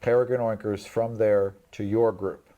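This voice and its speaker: A man's voice: fairly low pitch, little pitch movement, moderate pace, lo-fi sound. A man acting as game master, narrating and explaining in a conversational way, often repeating and rephrasing as he goes. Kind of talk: improvised tabletop game narration and table talk.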